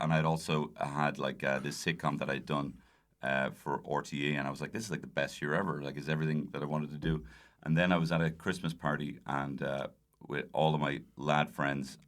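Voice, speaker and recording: Very deep-voiced Irish man telling anecdotes. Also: a bit bright. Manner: rambling, self-deprecating storyteller. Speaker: guest comedian. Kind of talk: casual anecdotal chat.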